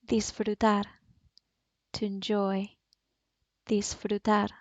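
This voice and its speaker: Woman teacher with a Spanish accent, very slow and level drill delivery, dull dry recording. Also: medium pitch, completely flat delivery, no echo. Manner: slow, clearly enunciated word drills. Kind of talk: language lesson.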